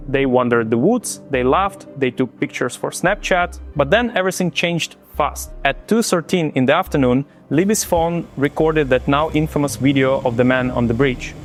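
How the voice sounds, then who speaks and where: A man's voice: medium pitch, normal speed, hardly any pauses, soft background music. A man from Ukraine with a Ukrainian accent, hosting and narrating a true crime story in a chatty way that speaks straight to viewers. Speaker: true crime channel host.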